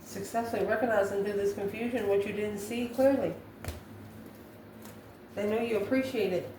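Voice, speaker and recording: A woman giving an improvised spiritual tarot reading to viewers, musing in a halting way and posing questions to herself. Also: medium pitch, fairly even intonation, dark tone. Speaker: tarot card reader, channel host. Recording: echoey room, distant mic, faint noise.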